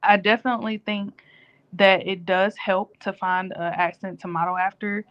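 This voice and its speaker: A woman co-hosting a casual live-stream chat, telling stories in a lively, animated way. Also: medium pitch, moderate pace, lo-fi sound.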